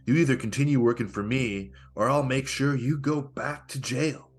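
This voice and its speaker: A man playing a smug, menacing con-artist pickup guru in a comedic script read, with taunting, theatrical delivery and a husky voice. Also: medium pitch, close to an everyday voice. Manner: taunting, theatrical, deliberate threats.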